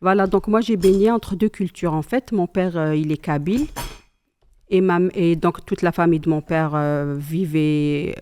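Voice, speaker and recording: Mellow-voiced mother telling family memories. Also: on the low side, clean tone. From Algeria. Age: middle-aged. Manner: calm, thoughtful storytelling with pious asides. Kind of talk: casual chat.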